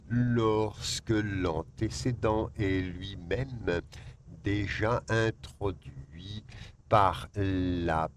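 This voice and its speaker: A man whose first language is Spanish, teaching a French grammar lesson. He reads the rules slowly, then drifts into quick joking asides. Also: on the low side, slight background noise.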